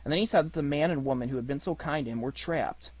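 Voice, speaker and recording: A man's voice: a little high, quick pace, slightly rough, dry recording. A man reading an audiobook story as its narrator, in a scripted storytelling style.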